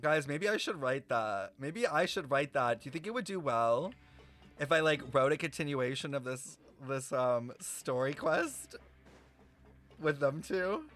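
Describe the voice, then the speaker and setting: A young man chats casually to his stream while reacting to a game. His voice is a little high and highly animated, with slangy, expressive phrasing and faint game music behind it. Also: a little slow. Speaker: livestreamer.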